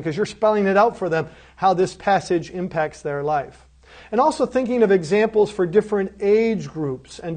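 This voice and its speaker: A man's voice: high voice, darkish tone. A man giving a lecture on preaching. He talks in an earnest, teacherly way and often asks the listeners rhetorical questions. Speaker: seminary lecturer.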